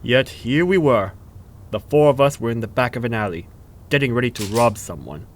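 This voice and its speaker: An actor playing a troubled, hardened young street robber who narrates an animated crime drama in a brooding, dramatic way. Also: male voice, medium pitch, normal speed, a little hiss or hum, lightly acted. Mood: bitter, brooding. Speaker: narrator and main character. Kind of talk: scripted first-person narration.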